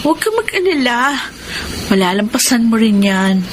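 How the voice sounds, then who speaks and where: A woman's voice: a little high, sing-song, moderate pace, background noise. A woman acting an affectionate young woman in love in a radio drama, speaking with a Filipino accent. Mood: concerned. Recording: music behind the voice. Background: background music.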